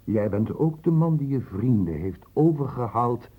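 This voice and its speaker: An actor playing a stern, probing doctor in a Dutch radio drama, with measured, clinically precise questioning. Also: male voice, mid-range voice, lively intonation, husky. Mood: accusing, knowing. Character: probing, stern male doctor, calmly cornering a suspect. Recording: muffled phone-like old radio recording.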